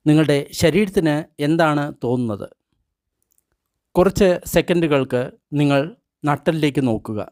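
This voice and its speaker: A man leads a guided meditation in a slightly high voice. He reads measured instructions in short phrases, leaving pauses between them. The sound is dry and close. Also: darkish tone, dry recording. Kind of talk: guided meditation, read from a translated script.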